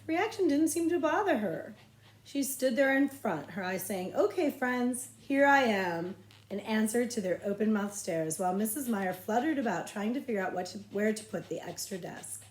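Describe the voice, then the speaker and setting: A woman's voice: medium pitch, animated, moderate pace, darkish tone. Woman storyteller in an echoey room. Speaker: librarian reading aloud. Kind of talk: children's novel read-aloud storytelling.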